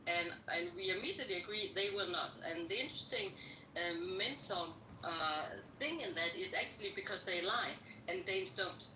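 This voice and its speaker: A Danish-accented woman joins a casual group discussion and tells wry, teasing stories in a direct way. Her voice sits a little low but sounds bright. The recording carries an echoey room sound. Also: expressive, normal speed, a little hiss or hum. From Denmark. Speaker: discussion participant.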